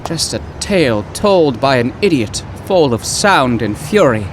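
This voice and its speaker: An actor playing an earnest, awkward poetry professor, flustered and florid, in old-time radio drama style. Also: male voice, mid-range voice, big pitch swings, measured pace, a little hiss or hum. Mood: despondent.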